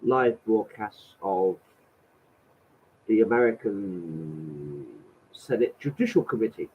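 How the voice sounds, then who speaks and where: A man's voice: fairly low pitch, lively intonation, takes it slowly, a little hiss or hum. A man with a cold and a slightly nasal voice, sarcastically commenting as he reads out a letter. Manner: exasperated, sarcastic, swears. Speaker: vlogger reading out a letter. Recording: tinny phone-like audio.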